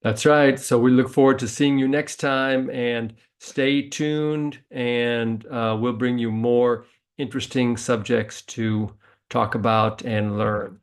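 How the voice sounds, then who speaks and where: A man's voice: medium pitch, steady tone, slow, clear, resonant tone. American man co-hosting an English lesson. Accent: faint New England (Massachusetts). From United States. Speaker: English teacher and co-host. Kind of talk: casual English lesson podcast.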